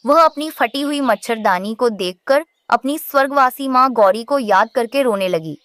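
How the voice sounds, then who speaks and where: A woman's voice: fairly high pitch, expressive, moderate pace, fairly bright tone, no room sound, clean studio sound. A woman narrator reading a scripted children's story.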